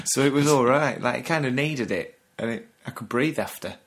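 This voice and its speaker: Man, lancashire accent, mid pitch, chatty, laughs a lot, podcast co-host. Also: moderate pace, fairly bright tone. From Burnley, Lancashire, England. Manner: chatty, laughs often, drops "the". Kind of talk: casual chat. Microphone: video call.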